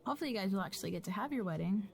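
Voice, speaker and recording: A woman's voice: mid-range voice, lively intonation, very fast, vocal fry, darkish tone. An actress playing a concerned, probing female colleague in naturalistic audio drama dialogue.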